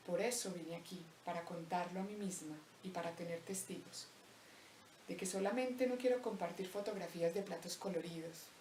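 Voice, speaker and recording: A young woman from Colombia with a Paisa accent, slowly reciting a reflective spoken-word poem with deliberate pauses. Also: lowish voice, slight background noise. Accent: Paisa Colombian Spanish. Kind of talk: spoken-word poetry monologue. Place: empty abandoned house. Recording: roomy echo, voice distant from mic.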